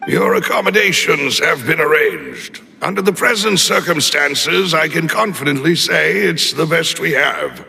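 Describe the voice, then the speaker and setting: Creaky, formal male retainer. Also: a little high, lively intonation, unhurried, hardly any pauses, sharp tone, in a large hall, full character voice. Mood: dutiful, courteous. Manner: formal, courtly, deferential. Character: formal, dutiful male butler-like retainer, middle-aged or older. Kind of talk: video game cutscene voice acting.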